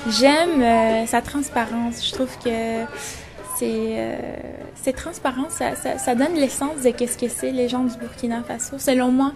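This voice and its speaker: A young woman in her twenties, originally from Venezuela, talks thoughtfully about her photography with a voice pitched a little high. She pauses often to find the right words. Clear music plays behind her. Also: fairly even intonation. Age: 20s. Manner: reflective, searching for words. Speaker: photographer and journalism student.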